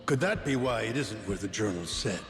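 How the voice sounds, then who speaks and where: A man's voice: fairly low pitch, lively intonation, brisk. A man voicing a learned, composed scholar in a video game, speaking formally and precisely. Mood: musing. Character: learned, composed scholarly gentleman explaining old lore. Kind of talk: scripted video game cutscene acting. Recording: echoey hall with faint music.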